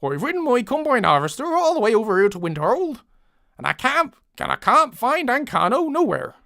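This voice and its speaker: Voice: medium pitch, very animated, a little fast, creaky, pushed and bright, dry recording, clean studio sound, pitched above the performer's own voice, exaggerated voice. A performer voicing a rustic farm lad with a folksy West Country English accent. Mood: folksy, eager.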